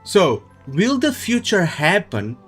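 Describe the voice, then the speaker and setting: A man's voice: high voice, huge pitch swings, slow pace, a bit bright, husky, soft background music. A man doing casual, musing live commentary as he streams a game, rambling and swearing casually.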